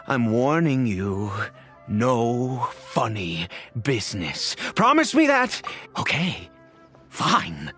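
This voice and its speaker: A woman's voice: extremely low pitch, fairly bright tone, heavily acted. A woman voicing a stern, suspicious, protective guardian in a video game, haughty and commanding. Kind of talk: dramatic video game voice acting.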